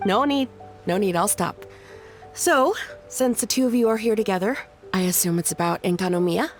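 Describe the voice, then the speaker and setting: A woman playing a wry but dutiful shrine subordinate in a video game, creaky-voiced, composed and articulate. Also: mid-range voice, moderate pace, soft background music, lightly acted. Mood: backing down. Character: adult female shrine subordinate, wry, teasing yet dutiful.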